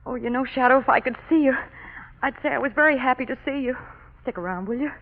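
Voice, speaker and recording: A woman's voice: high voice, fast. An actress playing a bold, chatty young woman in 1940s radio acting, breezy and teasing. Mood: relieved. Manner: breezy, teasing, then pleading. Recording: tinny vintage radio broadcast.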